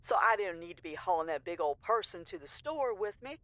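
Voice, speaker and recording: Audio comedy role, female actor: sassy, exasperated woman on an answering service, folksy and chatty, very expressive, dry studio sound. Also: medium pitch, brisk, dry recording, muffled recording. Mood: chatty, rambling. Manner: folksy, mouthy, rambling, exasperated. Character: brassy, sassy small-town answering service operator, chatty and easily annoyed. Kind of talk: audio comedy drama dialogue.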